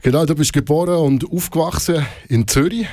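A man's voice: a little high, a little fast, a little mellow, no echo. A man hosting a casual radio interview in a studio, hesitant, with many fillers, dropping English phrases in. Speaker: radio show host.

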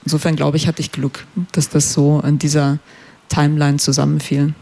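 Gravelly-voiced man, thoughtful and halting. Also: on the high side, monotone, warm tone. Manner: thoughtful, searching for words, many fillers. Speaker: performing artist guest. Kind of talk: reflective radio interview answers. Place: radio studio.

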